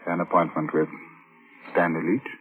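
An actor playing a composed, methodical police inspector who narrates and questions in a measured, formal, politely probing way, in old-time radio drama style. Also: male voice, low-pitched, flat delivery, breathy edge. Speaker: detective and narrator. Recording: tinny, hissy vintage radio recording.

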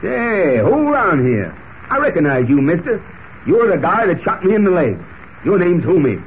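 A man's voice: high voice, big pitch swings, normal speed. A man playing a folksy, talkative cowboy in an old radio serial, with a drawling American Western accent. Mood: startled. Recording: muffled old radio recording with hiss.

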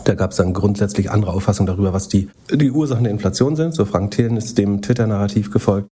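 A man's voice: lowish voice, fast talker, warm tone, husky. A man co-hosting a podcast in casual chat, talking while he has a cold, with many hesitant fillers and dry sarcasm. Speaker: podcast co-host.

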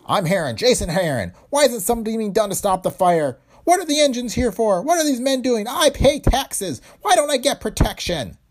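A wiry older factory owner rants at everyone in a shrill, piercing voice. His words jump high and stay loud as he scolds. It has the feel of a pulp storybook reading. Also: very animated, normal speed, few pauses, heavily acted. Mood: ranting. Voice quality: shrill, ranting.

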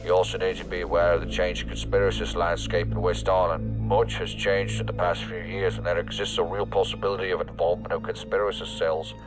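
An actor playing an authoritative federal section chief in an audio drama, speaking in a formal, measured, official way. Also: male voice, sits low, flat delivery, music in the background, natural voice. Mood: formal, grave.

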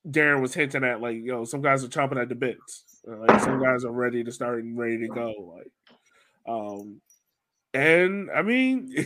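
A man's voice: mid-range voice, fairly bright tone. A man hosting a sports podcast, talking in an informal, loosely phrased and slangy way. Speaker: podcast host. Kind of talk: casual soccer podcast discussion.

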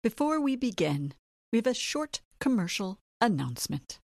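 A woman's voice: fairly high pitch, big pitch swings, moderate pace, background noise. A woman hosting a children's podcast, reading a script in a chirpy way straight to young listeners. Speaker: podcast host. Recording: music behind the voice.

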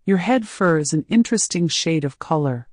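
A woman puts on a massive male alien slave keeper for an audiobook, measured and mockingly pleasant with menace underneath. The voice is close and dry, with no room around it. His words come slow and deliberate, savouring his cruelty. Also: sits low, animated, darkish tone, no echo. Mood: menacing. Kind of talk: audiobook character voice.

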